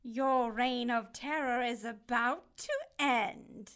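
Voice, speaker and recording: A woman's voice: on the high side, slow, a bit bright, clean tone. A woman playing a stern, righteous cherub in an audio drama, severe, commanding and sanctimonious. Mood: grim. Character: stern, righteous warrior cherub, threatening angel of wrath.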